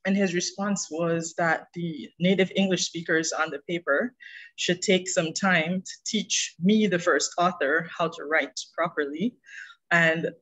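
A woman from Guyana, speaking calmly as a geologist panelist on a video call. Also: on the low side, measured pace, rough recording. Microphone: video call webcam.

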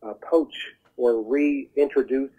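A man speaking as a talent agent guest, giving advice in an earnest, halting, conversational way over the telephone. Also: medium pitch, fairly even intonation, slightly rough. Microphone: telephone call. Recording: muffled narrow phone line.

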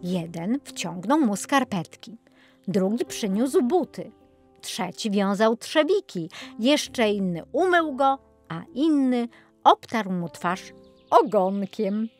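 A woman narrating a children's fairy tale as an expressive audiobook storyteller. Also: medium pitch, slow pace, soft background music.

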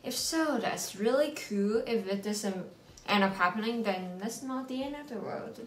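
A woman's voice: mid-range voice, expressive, normal speed, reverberant. A woman in her late twenties from Hong Kong with a Hong Kong English accent, co-hosting a couple vlog in a playful, slightly shy, giggly way from a small room at home. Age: late 20s. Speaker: vlog co-host, nurse and yoga teacher. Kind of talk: casual couple vlog introduction.

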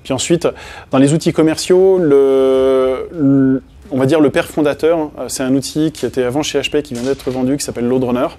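A man's voice: medium pitch, steady tone, normal speed, dark tone. A man in his thirties giving a technical talk to a small audience, casual, with many hesitations and restarts. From France. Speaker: conference speaker. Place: meeting room with projector and small audience.

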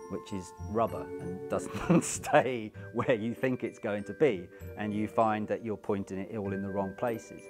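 Low-voiced man talking over music. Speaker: stage actor. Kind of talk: casual interview chat. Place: indoor theatre auditorium.

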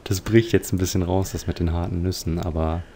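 A man giving reflective, slightly formal game commentary, pausing hesitantly as he thinks aloud. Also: low voice, steady tone, dark tone, slight background noise. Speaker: let's player.

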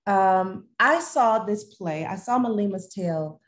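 A woman's voice: mid-range voice, expressive, unhurried. A middle-aged woman hosting a talkback interview on a video call from a room at home. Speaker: host, theatre artistic director.

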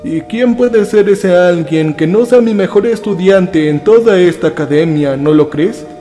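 A man voicing an elderly professor of ninety-two, cold and persuasive, speaking slowly. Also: sits high, dark tone, faint music behind.

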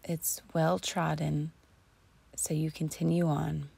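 Female meditation guide: very slow, soothing delivery, soft mellow tone, breathy and near-whispered. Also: low voice, little pitch movement. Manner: soothing, slow and deliberate with long pauses. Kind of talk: guided imagery relaxation narration.

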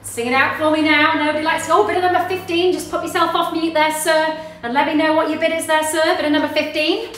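Brief: high-voiced Australian woman auctioneer, brisk upbeat patter, echoey room, slightly off mic. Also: flat delivery, fairly bright tone, distant mic, slight background noise. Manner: brisk, upbeat sales patter with rapid bid repetition. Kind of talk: live online property auction calling. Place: small office studio in front of a backdrop.

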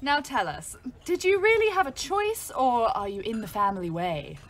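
A woman's voice: fairly high pitch, sing-song, normal speed, very noisy. An actress playing a droll, teasing woman ambulance driver in a wartime audio play, with a dry delivery. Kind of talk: audio drama acting.

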